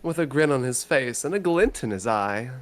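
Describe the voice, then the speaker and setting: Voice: mid-range voice, expressive, moderate pace. A theatrical, mock-pedantic speaker mixing dramatic reading aloud with joking banter.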